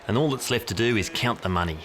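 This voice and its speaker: A man narrating a documentary in a measured, wry storytelling manner. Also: on the low side, noisy background. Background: music bed.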